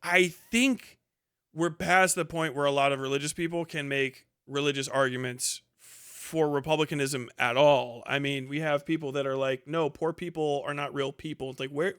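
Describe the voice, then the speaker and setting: A man's voice: fairly high pitch, fairly even intonation, normal speed, fairly bright tone, clear, resonant tone, no echo, studio quality. A man doing casual, off-the-cuff live commentary on the news in a blunt, sardonic, rambling and argumentative way, with some swearing.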